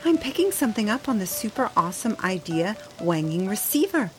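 A woman voicing a bubbly female chef and influencer who talks excitably. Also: medium pitch, fairly quick, faint music behind, natural voice, poor audio. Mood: excited. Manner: bright, pushy, excitable.

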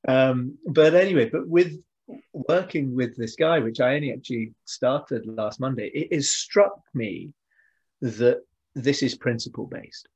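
A man's voice: medium pitch, a little slow, darkish tone, highs cut off. A man, a podcast guest explaining his ideas earnestly with many fillers and restarts. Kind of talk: casual interview chat.

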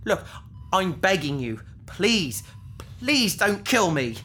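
A woman's voice: fairly low pitch, big pitch swings, slow, fairly bright tone, heavily acted. A woman playing an indignant, pleading captive in a science fiction audio drama, speaking in an earnest, protesting way. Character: indignant, pleading female captive victim on operating table.

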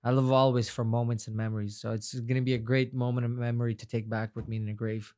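A man's voice: mid-range voice, little pitch movement, fast talker, warm tone. A young man from Afghanistan, a non-native English speaker whose first language is Farsi, talking casually as an interview guest, rambling and repeating words in a heartfelt way. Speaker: guest fighter.

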